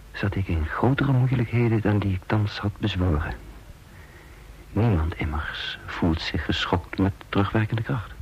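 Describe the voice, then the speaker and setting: A dull, slightly hissy radio drama recording carries a low-voiced man, a brooding undercover patriot. He tells his story in a deliberate, literary and confiding way, guarded and hard on himself. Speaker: narrator and lead character of a radio serial. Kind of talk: Dutch radio drama, first-person narration and dialogue.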